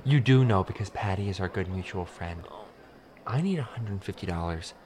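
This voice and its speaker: A woman's voice: very deep voice, animated, a little mellow, slight background noise. Flustered, evasive school committee mother. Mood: pleading. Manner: halting, flustered, over-polite. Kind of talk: improvised radio play acting.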